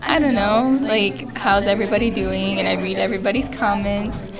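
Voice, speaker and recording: A woman's voice: medium pitch, steady tone, moderate pace, low-quality recording. A woman chatting casually as a guest in a live interview, explaining things with simple examples. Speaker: guest, English teacher with an online show. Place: live radio broadcast at a market pop-up event. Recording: loud music behind. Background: loud music.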